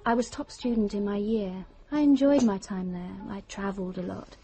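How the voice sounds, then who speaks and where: An actress playing an intense, secretive young British woman in a radio drama, cool, deliberate and self-assured. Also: mid-range voice, dark tone, lightly acted. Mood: matter-of-fact, boastful. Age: young adult.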